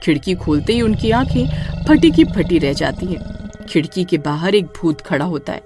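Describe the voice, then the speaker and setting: A woman narrating a horror story in an expressive storytelling manner. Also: on the low side, normal speed, music in the background.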